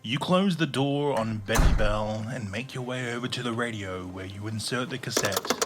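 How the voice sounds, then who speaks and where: A man narrating a scripted audio drama in the second person, in a measured storytelling manner. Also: medium pitch, noisy background.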